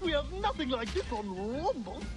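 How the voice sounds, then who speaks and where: A pampered alien prince from a cartoon, speaking in a high, swooping voice. He is posh and gushing, stretching his old-fashioned exclamations slowly over loud music. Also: sing-song. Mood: gushing wonder. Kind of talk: cartoon voice acting.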